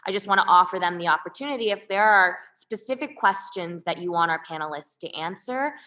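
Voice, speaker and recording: A woman's voice: fairly low pitch, pushed and bright. A woman moderating an online panel discussion, upbeat and conversational. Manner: upbeat, conversational, uses y'all. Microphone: video call.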